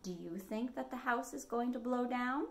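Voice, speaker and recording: An American woman in her forties reading a picture book expressively as a storytime host, phrasing clearly for children. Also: on the high side, normal speed. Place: small living room at home, indoors.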